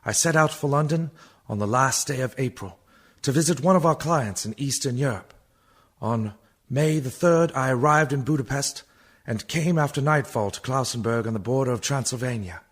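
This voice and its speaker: A man playing an earnest, uneasy young lawyer's clerk in a radio play, grave and dramatic, with a gravelly creak. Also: mid-range voice, normal speed, fairly bright tone, natural voice. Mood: solemn. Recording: noisy background behind voice.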